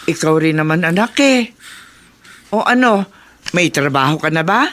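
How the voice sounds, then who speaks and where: An actress playing a caring, gently worried older mother with a tender manner, in a Tagalog radio drama. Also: low voice, lively intonation, normal speed, a little hiss or hum. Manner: tender, concerned.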